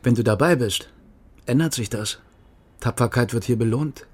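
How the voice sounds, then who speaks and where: An actor playing a self-assured, conspiratorial East German secret police lieutenant with clipped, wry delivery in a radio crime drama. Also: male voice, mid-range voice, moderate pace, darkish tone, husky, lightly acted.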